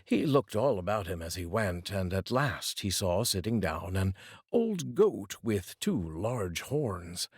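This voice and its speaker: A man's voice: low-pitched, big pitch swings, takes it slowly, slightly rough, dry recording, clean studio sound. A man narrating a folk tale as a storyteller, reading aloud expressively.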